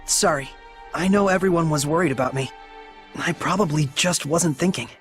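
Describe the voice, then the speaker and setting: An actor playing an earnest, kindhearted young shepherd hero in a video game, speaking casually and sincerely. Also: male voice, on the high side, moderate pace, fairly bright tone, soft background music, close to an everyday voice. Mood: apologetic, calm. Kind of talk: English video game dub acting.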